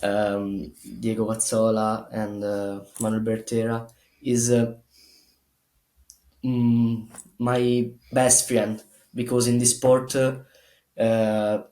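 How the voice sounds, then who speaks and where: A young man from Italy who speaks English with an Italian accent, pausing and searching for words as an interview guest. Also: lowish voice, monotone, dark tone, reverberant, low-quality recording. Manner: halting, many fillers and restarts. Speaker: gymnast guest. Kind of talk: interview answers.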